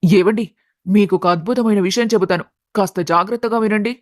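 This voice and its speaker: A woman's voice: mid-range voice, a little fast, a little mellow, dry recording, studio quality, close to an everyday voice. A woman voicing a scheming, greedy middle-aged aunt who talks insistently in a family story. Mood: eager. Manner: insistent, calculating.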